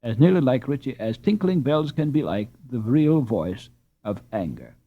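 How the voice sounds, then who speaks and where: Lively storybook reading by a man. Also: mid-range voice, moderate pace. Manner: gentle expressive reading with lilting emphasis. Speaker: audiobook narrator. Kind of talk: scripted storybook narration. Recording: dull lo-fi recording.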